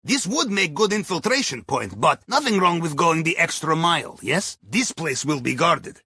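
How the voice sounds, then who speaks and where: Chatty Russian submarine helmsman, video game heist guide, Russian accent, bright forceful tone, dry close sound, wry and conspiratorial. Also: on the high side, very animated, normal speed, breathy edge, no echo, full character voice. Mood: conspiratorial warning. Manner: wry, conspiratorial, with foreign phrasing. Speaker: mission guide over the radio.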